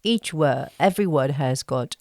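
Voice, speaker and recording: Halting Chinese-accented woman, dry close sound. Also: very deep voice, lively intonation, a little mellow, no echo. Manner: halting, searching for words in simple phrasing. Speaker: actress, podcast guest. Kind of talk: casual interview.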